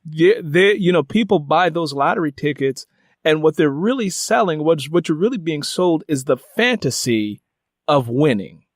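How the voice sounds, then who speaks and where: A middle-aged man with an American accent interviewing someone conversationally, deliberately rephrasing his questions as he goes. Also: a little high, lively intonation, no echo, studio quality. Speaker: interviewer and host.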